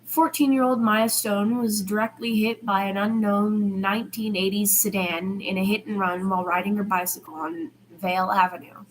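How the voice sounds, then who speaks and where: Female podfic narrator, mid-range pitch, informal amateur story reading with slight stumbles, faint noise behind. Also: steady tone, normal speed, few pauses, low-quality recording. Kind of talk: amateur fiction reading with character dialogue.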